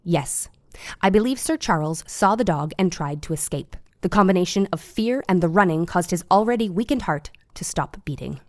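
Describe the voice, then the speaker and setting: An actress playing an earnest, eccentric Victorian woman doctor in an audio drama, telling things in a formal, deliberate way. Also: lowish voice, animated, a little mellow, no room sound, studio quality, natural voice. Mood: earnest, worried.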